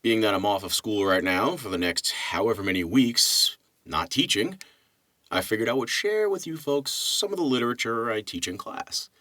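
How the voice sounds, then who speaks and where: A man who narrates and teaches literature, reading poetry and talking casually with deliberate, dramatic phrasing. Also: on the low side, animated, bright, forceful tone, dry recording.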